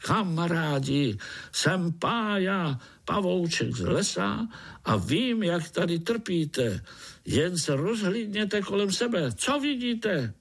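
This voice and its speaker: A man's voice: high voice, big pitch swings, a bit bright, slightly rough, pitched above the performer's own voice, exaggerated voice. A man voicing an eager young spider hero from the forest in a storybook, proclaiming with enthusiasm. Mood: rallying.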